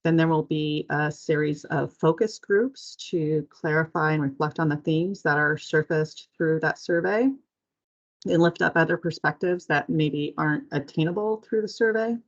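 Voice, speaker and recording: Lady explaining plans on a work call, lowish creaky voice, bit muffled. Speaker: agency staff presenter. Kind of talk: semi-formal presentation on a conference call. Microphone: conference call. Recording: muffled conference call audio.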